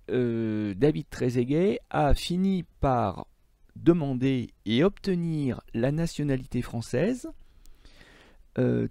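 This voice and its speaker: A man's voice: mid-range voice, creaky, warm tone, no room sound, studio quality. A man giving a recorded lesson as a middle school teacher, explaining didactically and stressing key points. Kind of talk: recorded lecture for students.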